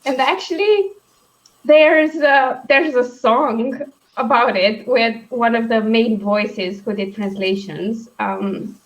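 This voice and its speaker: Quick, laughing woman. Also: fairly high pitch, lo-fi sound. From Romania. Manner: lively, laughs often, quick asides. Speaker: co-host. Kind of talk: casual video chat.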